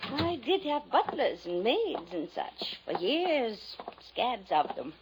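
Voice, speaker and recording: A woman's voice: fairly high pitch, very animated, takes it slowly, full character voice. A woman playing a genteel, flighty older widow who chatters and trails off, in 1950s radio style. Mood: gracious, fluttery. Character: flighty, genteel old former showgirl, reclusive widow. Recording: noisy vintage radio drama recording.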